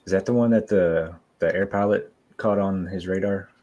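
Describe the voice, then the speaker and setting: A man's voice: deep voice, fairly even intonation, normal speed, a little mellow. A man in casual chat, curious and asking a string of questions.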